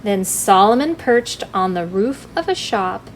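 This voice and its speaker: A woman's voice: mid-range voice, expressive, unhurried, a little hiss or hum. A woman hosting a children's library storytime, reading rhymes aloud expressively and addressing small children in a friendly, sing-song way. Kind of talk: expressive read-aloud of a rhyming picture book for young children.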